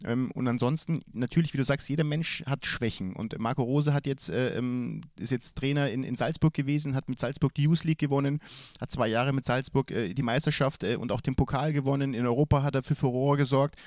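A man's voice: mid-range voice, brisk, a little mellow, dry recording, studio quality. A man with a cold, answering interview questions about his club's coach hesitantly, with many fillers and restarts. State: has a cold. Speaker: football club sporting director.

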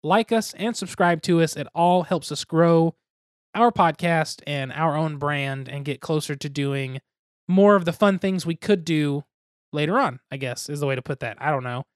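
A young man with a fairly high voice co-hosts a casual podcast, rambling along and joking at his own expense. The sound is dry and close, with no room around it. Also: moderate pace, no echo. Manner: rambling, self-deprecating, jokey. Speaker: podcast co-host.

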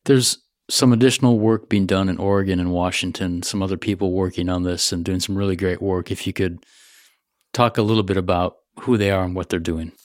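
Man, podcast host, very low voice, short encouraging replies, dry sound. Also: steady tone, brisk, a little mellow, no echo. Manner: brief affirming interjections. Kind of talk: interview.

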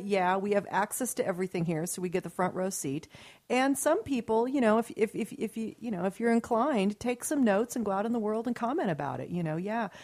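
A woman's voice: mid-range voice, darkish tone. A woman hosting her own radio show, talking in a casual, earnest and rambling way with frequent restarts. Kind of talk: casual talk radio chat.

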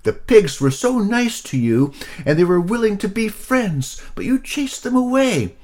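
A squeaky little pig hero. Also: male voice, measured pace. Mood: stern. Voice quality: squeaky, high. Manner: calm, stubborn sing-song. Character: tiny brave squeaky male pig hero.

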